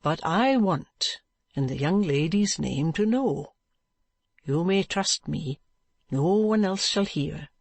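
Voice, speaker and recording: A woman voicing a kind, patient young man who coaxes gently. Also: low voice, expressive, slow, no echo, exaggerated voice. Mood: urging. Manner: gentle coaxing tone.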